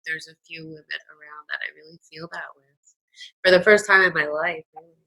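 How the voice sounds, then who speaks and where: A woman's voice: low-pitched, fairly even intonation, fairly quick, pushed and bright, low-quality recording. A woman guest in a casual chat, tearful and speaking hesitantly with many fillers.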